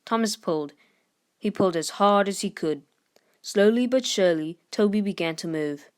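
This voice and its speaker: A woman reads a children's story aloud in an expressive scripted narration style. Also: mid-range voice, moderate pace. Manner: expressive, lifts voice for character dialogue. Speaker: storybook reader.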